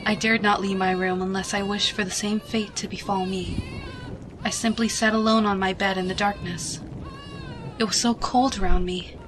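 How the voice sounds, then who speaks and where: A woman giving a hushed, expressive, dramatic reading of a suspense story, with music behind her. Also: mid-range voice, moderate pace, background noise. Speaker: fan fiction reader.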